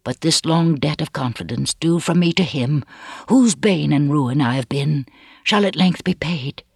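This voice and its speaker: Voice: medium pitch, breathy edge, no echo, clean studio sound. A reader voicing an anguished but resolute, dignified woman with solemn, archaic, pleading speech in a storybook reading.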